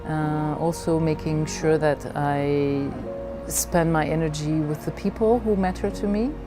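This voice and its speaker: A middle-aged woman from Belgium telling her personal story in a reflective way, haltingly searching for her words. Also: low-pitched, fairly even intonation, over music. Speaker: leadership coach.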